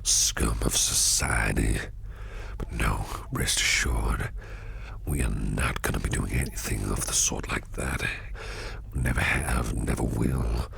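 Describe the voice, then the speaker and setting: A man playing a shady businessman boss in an ASMR roleplay, flirtatious and protective, murmuring in a whispery gravelly voice with slow, teasing deliberation. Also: extremely low pitch, fairly even intonation, a bit bright, slight background noise, exaggerated voice. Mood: proud, scornful. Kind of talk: ASMR roleplay, close personal attention.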